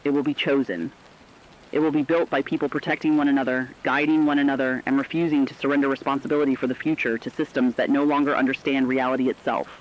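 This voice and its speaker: A man playing an earnest radio correspondent, delivering a scripted emergency broadcast in a formal, deliberate way. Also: medium pitch, steady tone, muffled recording, a little hiss or hum, close to an everyday voice. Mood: solemn, hopeful. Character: earnest radio correspondent in a world of failing technology. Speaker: broadcast co-anchor.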